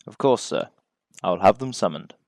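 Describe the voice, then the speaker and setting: A man's voice: low voice, expressive, moderate pace, vocal fry, a little mellow, no room sound, studio quality. A man voicing a dutiful male unicorn butler, formal and deferential, in an audiobook. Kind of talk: audiobook character reading.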